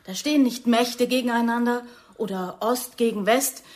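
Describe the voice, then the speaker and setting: A woman's voice: fairly high pitch, normal speed, darkish tone, natural voice. A woman acting a defiant, idealistic young actress with radical politics in a German radio play, outspoken and stubborn. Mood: passionate, indignant.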